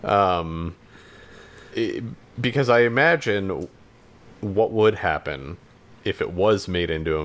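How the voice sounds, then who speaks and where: A man co-hosting a podcast in casual chat, speaking in a hesitant, rambling, hedging way. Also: low voice. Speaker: podcast co-host.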